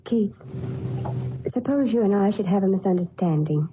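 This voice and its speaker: A woman's voice: mid-range voice, expressive, normal speed, close to an everyday voice. A hesitant, guilt-ridden wife voiced by a woman in a middling register. The sound is dull and lo-fi with a faint hiss, like an old radio broadcast. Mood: uneasy. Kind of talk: 1940s radio acting.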